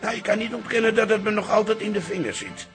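An actor playing a proud, grumbling older father in a radio drama, folksy and opinionated, with a gravelly voice. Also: sits high, fast, a bit bright, soft background music. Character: proud, grumbling old father keeping the business going. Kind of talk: Dutch radio drama acting.

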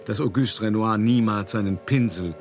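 Actor as deep-voiced older art dealer, wryly reminiscing. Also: male voice, faint music behind, lightly acted. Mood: reflective, rueful. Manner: measured, dramatic, cultivated raconteur. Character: elderly male art dealer recounting his escapes, wry, weary. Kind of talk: radio play monologue, storytelling.

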